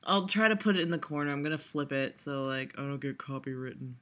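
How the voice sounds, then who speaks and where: A woman's voice: a bass voice, quick pace, a bit bright. A young woman vlogger giving a sarcastic, profane reaction, rambling with lots of laughs and fillers. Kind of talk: casual reaction commentary.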